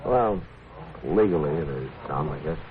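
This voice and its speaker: A man playing a stern, steady frontier marshal in a radio western, with an American accent and a terse, firm, deadpan delivery. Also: a bass voice, unhurried, phone-quality sound. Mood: dry, reflective. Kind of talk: 1950s radio western acting. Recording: thin, crackly 1950s radio recording.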